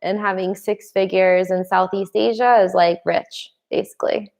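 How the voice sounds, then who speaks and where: Chatty woman with a gravelly edge. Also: medium pitch, fairly even intonation, normal speed, darkish tone. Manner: chatty, reads viewer comments aloud and answers them; laughs often between remarks. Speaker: live stream host. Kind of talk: casual live Q&A chat.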